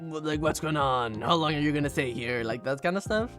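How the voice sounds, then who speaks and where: A young man from Argentina telling travel stories in casual chat, laughing often and jumping high in pitch when he quotes people. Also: fairly quick, faint music behind. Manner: animated, laughs often, raises pitch sharply to act out quotes. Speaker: streamer.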